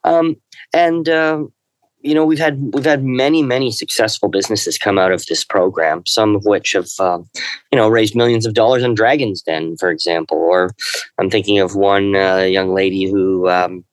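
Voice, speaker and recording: A middle-aged man, speaking a little lower than most men. He answers interview questions in a thoughtful, halting way, full of ums and restarts. The sound is dry and close. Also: fairly even intonation, no echo, low-quality recording. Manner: thoughtful, halting, many fillers. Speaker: university lecturer guest.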